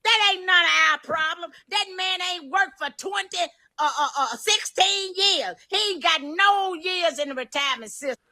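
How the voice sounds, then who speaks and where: A woman's voice: extremely high pitch, lively intonation, moderate pace, few pauses, sharp tone. A woman making an indignant public comment in emphatic, plain-spoken slang.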